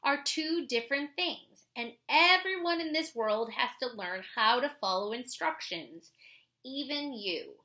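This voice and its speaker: A woman reading aloud and explaining to children as a teacher, instructive, deliberate and expressive. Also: high voice.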